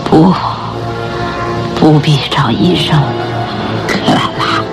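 An actress playing a gentle, sorrowful bedridden mother in a radio drama, breathy, weak and halting. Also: low-pitched. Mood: weak, tender. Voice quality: breathy, often whispered. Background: background music.

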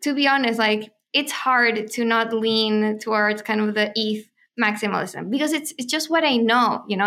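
A woman's voice: a little high, a bit bright. A woman from Chile, a native Spanish speaker, hosting a podcast and thinking aloud in short, hesitant fragments. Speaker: podcast host, crypto journalist. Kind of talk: casual chat.

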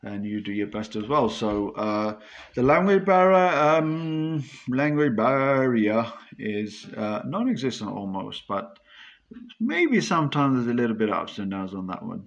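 A man's voice: mid-range voice, big pitch swings, unhurried, vocal fry, muffled recording. A man answering questions in a casual chat, hesitant and full of restarts.

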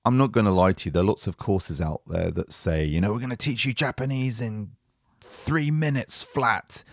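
Male podcast host, cheerful and chatty, lowish voice, dry close sound. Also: normal speed, vocal fry, no echo. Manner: upbeat, chatty, laughs often. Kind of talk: casual podcast chat.

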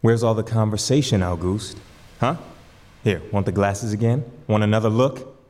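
An actor playing a gruff, commanding chief lighthouse keeper in a radio drama, curt and bossy. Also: male voice, lowish voice, normal speed, darkish tone, in a large hall, close to an everyday voice. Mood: needling.

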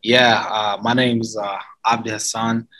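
A man from Somalia, a company co-founder and guest, telling his story in a relaxed way, full of "you know". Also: fairly low pitch, a little slow. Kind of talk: casual interview talk.

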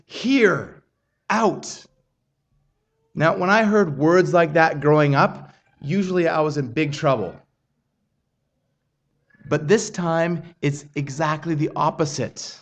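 A man preaching a sermon in an earnest, measured way, often restarting his phrases. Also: fairly high pitch. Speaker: pastor.

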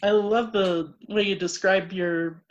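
A woman's voice: mid-range voice, steady tone, slow. A woman hosting a podcast, friendly and eager, interviewing an author. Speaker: podcast host. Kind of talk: casual interview chat. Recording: dull lo-fi audio.

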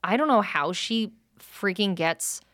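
A woman's voice: medium pitch, lively intonation, a little slow, fairly bright tone, no echo, clean studio sound. A woman chatting casually as a podcast co-host, emphatic and playfully intense, swearing freely. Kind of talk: casual chat.

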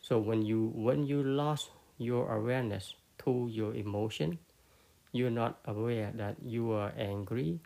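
A man with a Cambodian accent giving an unscripted Buddhist talk, halting often with fillers and restarts. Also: mid-range voice, gravelly, mellow. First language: Khmer. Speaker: Buddhist teacher.

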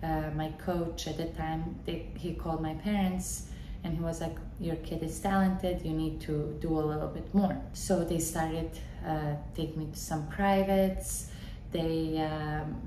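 A woman's voice: low voice, mellow. A thirty-year-old woman from Bosnia and Herzegovina with a Bosnian accent, hosting a solo podcast and telling her own story conversationally, repeating words for emphasis. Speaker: podcast host, tennis coach. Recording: roomy echo with clear background noise.

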